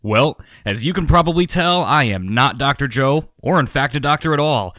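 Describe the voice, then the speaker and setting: A self-mocking stand-in radio host in a comic drama. His voice sits at a medium pitch in a dry studio sound, chatty and full of showmanship. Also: lively intonation, normal speed, bright, forceful tone, no room sound, soft background music. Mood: nervous cheer. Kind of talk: parody radio call-in presenting.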